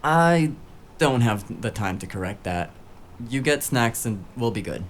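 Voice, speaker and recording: An actor playing a cheeky, eager, tech-savvy sidekick in a noir audio drama, with breezy, casual banter. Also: male voice, medium pitch, animated, unhurried, a little hiss or hum.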